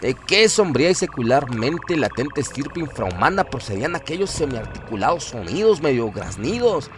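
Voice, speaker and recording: A man narrating a horror audio drama in a solemn, literary, deliberate storytelling manner. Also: medium pitch, big pitch swings, a little hiss or hum. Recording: loud music bed behind voice.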